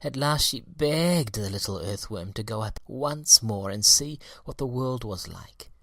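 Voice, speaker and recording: A man reading a children's story aloud in an expressive, deliberate storytelling manner. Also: fairly low pitch, creaky, dry recording, studio quality. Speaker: audiobook reader.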